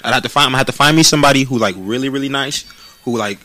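A man's voice: medium pitch, very fast, sharp tone. A man in his late twenties talking casually on a podcast, slangy and profane, often repeating words while he thinks. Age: 20s. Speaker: podcast co-host, aspiring rapper. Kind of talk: casual chat.

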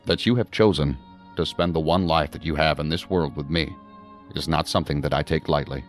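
An actor playing a measured senior federal agency director in a sci-fi audio drama, formal and deliberate in his speech. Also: extremely low pitch, soft background music. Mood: tender, solemn.

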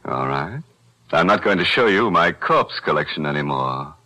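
A man's voice: sits low, animated, moderate pace, sharp tone. A man hosting a 1940s radio horror show, cracking grim puns with sly chuckles, on an old broadcast recording with music. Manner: macabre punning with sly chuckles. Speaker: horror anthology host.

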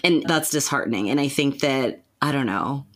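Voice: mid-range voice, normal speed. A podcast host talking in a casual conversational discussion, with informal, tentative phrasing.

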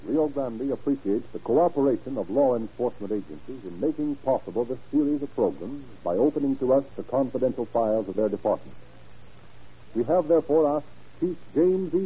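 A man's voice: medium pitch, animated, a little fast, mellow, breathy edge. An American man announcing and narrating a scripted 1930s radio program in a formal, declamatory manner. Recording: dull old radio broadcast recording.

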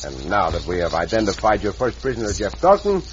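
An actor playing a suave, courtly caballero hero in an old-time radio drama. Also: male voice, lowish voice, huge pitch swings, fairly quick, highs cut off. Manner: courtly, polite, smooth. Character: suave gallant Mexican caballero hero, man. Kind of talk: 1940s radio acting. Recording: hissy old radio recording.

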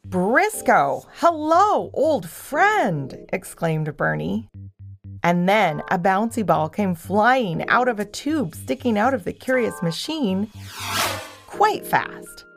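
A woman's voice: mid-range voice, animated, slow pace, gravelly, faint music behind. A woman storyteller reading scripted narration for a children's audio story.

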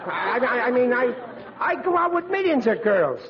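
Through the heavy noise of a muffled old radio broadcast comes a high-voiced blustering Brooklyn working stiff. He is bossy one moment and bashful the next, mangling his grammar and stammering in 1940s sitcom style. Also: very animated, normal speed, a loud crowd. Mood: defensive. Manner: blustering, ungrammatical, stammering when flustered. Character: blustering, bossy, big-hearted working-class dad, and himself as bashful teenager. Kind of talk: 1940s radio comedy acting.

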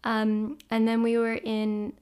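A young adult woman, a guest journalist, sounding hoarse from a cold and chatting casually with plenty of ums. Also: on the high side, monotone, takes it slowly, darkish tone. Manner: chatty, self-deprecating, lots of ums. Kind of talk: casual interview chat.

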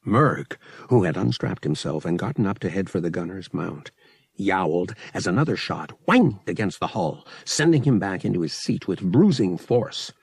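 A man narrating an adventure audiobook in a dramatic, expressive scripted style. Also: low voice, moderate pace, vocal fry, slightly rough, no room sound.

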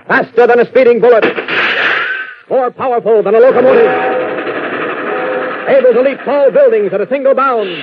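A man acting an urgent, excited herald in a 1940s radio serial opening. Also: very high voice, moderate pace, long pauses, over loud music. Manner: shouted, urgent. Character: excited onlookers and announcer hailing a flying hero. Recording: tinny, very noisy old radio recording.

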